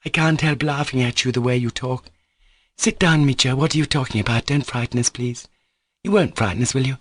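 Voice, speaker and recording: A man reading an audiobook voices a sharp, teasing young woman who talks reproachfully and insistently. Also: medium pitch, a little fast, dry recording, clean studio sound, voice pushed up, close to an everyday voice.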